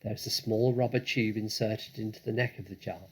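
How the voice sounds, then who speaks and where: A man reading a children's story aloud as an expressive narrator, with deliberate, dramatic phrasing. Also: on the low side, breathy edge. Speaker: story reader.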